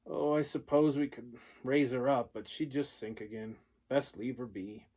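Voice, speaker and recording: A man reading aloud, voicing a weary grieving father who talks slowly and sighs. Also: mid-range voice, fairly even intonation, dark tone, lightly acted. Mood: defeated. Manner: slow, sighing, listless.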